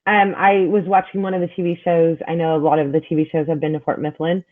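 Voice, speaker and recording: A woman's voice: low-pitched, flat delivery, fast talker. A woman hosting an interview, chatting casually and enthusiastically and restarting her questions. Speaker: podcast host interviewing a guest. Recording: phone-like call audio.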